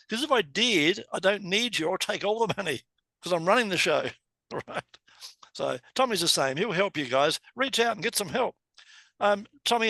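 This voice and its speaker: A man in his seventies hosts a chatty interview over a video call and keeps speaking straight to his listeners. His voice sits a little high, bright and tense, with a gravelly fry, and the sound is dry. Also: no echo. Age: 70s. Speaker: host. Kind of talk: casual interview.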